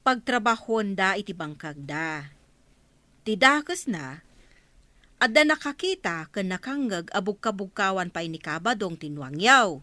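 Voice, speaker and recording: Gossiping villager, dry close sound. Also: female voice, mid-range voice, lively intonation, a little slow, sharp tone, no echo. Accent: Ilocano. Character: gossiping village woman, matter-of-fact. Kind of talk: Philippine radio drama acting.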